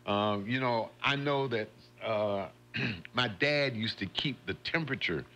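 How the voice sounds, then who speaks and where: A man's voice: mid-range voice, measured pace, pushed and bright, slight background noise. A man hosting a morning radio show, chatting in a folksy way and laughing often. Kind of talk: casual radio chat. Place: radio studio. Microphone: studio microphone.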